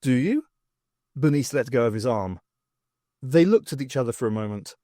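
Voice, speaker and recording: A man reading fiction aloud as an audiobook narrator, in an expressive storytelling style. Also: medium pitch, a little fast, no room sound, studio quality.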